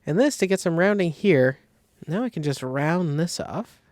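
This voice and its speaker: A man giving a casual step-by-step software tutorial, thinking aloud informally as he demonstrates. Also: a little high, animated, normal speed, no room sound, studio quality. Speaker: design tutorial instructor.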